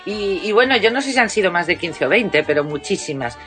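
A woman's voice: medium pitch, lively intonation, a little fast, soft background music. Chatty woman singer, radio guest. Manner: warm, chatty, many restarts and fillers. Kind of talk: casual radio interview.

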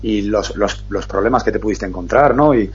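A man hosting a radio talk show, explaining at length in an earnest, fast way. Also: on the low side, husky, lo-fi sound. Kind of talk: casual radio chat.

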